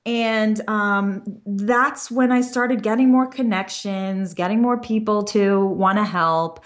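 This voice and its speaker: A woman's voice: mid-range voice, slow pace. Earnest young woman speaking openly. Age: young adult. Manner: earnest, open, talks in long flowing runs with restarts. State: pregnant. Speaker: career coach, podcast guest. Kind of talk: interview conversation.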